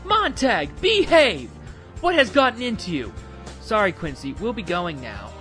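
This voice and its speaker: A man voicing a concerned, scolding young woman in falsetto for an amateur radio play, in a makeshift female impression. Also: wildly swooping pitch, unhurried, music in the background, full character voice.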